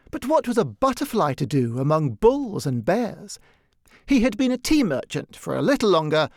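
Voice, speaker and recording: A man narrating a literary story from a script in an expressive, measured storytelling manner. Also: fairly high pitch, vocal fry, no room sound, studio quality. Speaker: audiobook narrator.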